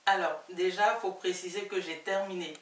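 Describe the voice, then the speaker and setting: A woman's voice: lowish voice, fairly even intonation, normal speed. A woman with an Ivorian accent telling her own story casually as an interview guest, repeating phrases for emphasis. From Ivory Coast. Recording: roomy, distant mic, faint noise.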